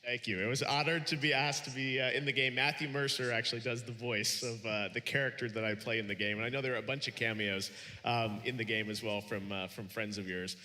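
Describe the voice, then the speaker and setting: A man presenting a live stage interview in a big hall, enthusiastic but halting in his phrasing. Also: medium pitch, steady tone, bright, forceful tone. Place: large stage event hall. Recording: reverberant hall with faint crowd.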